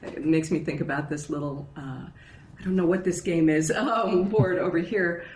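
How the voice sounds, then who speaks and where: A woman with a deep, low voice talks through voice and dialect coaching in a relaxed, explanatory way. She often checks in with "right?". The recording is roomy and echoey, with faint ambience behind her. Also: moderate pace, a little hiss or hum. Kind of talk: casual conversational interview.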